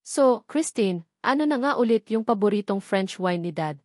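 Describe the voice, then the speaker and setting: A woman voicing a smug, mocking older brother in an audio drama, drawling her lines slowly and teasingly, recorded dry. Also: medium pitch, animated, darkish tone, dry recording, natural voice.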